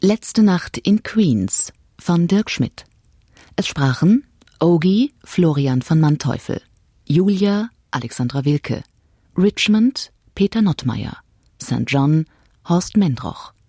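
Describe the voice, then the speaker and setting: A woman reading radio play credits as an announcer, in a measured, scripted manner. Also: low voice, expressive, mellow, slightly rough, no echo, clean studio sound.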